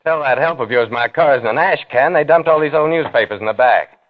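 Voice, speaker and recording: A man's voice: medium pitch, brisk, no room sound, narrow, tinny audio, close to an everyday voice. An actor as brooding man in vintage radio thriller. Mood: edgy. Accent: American. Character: uneasy, guilty man narrating his own crime story. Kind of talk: 1940s radio thriller acting, first-person narration. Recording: old radio recording, band-limited, faint music.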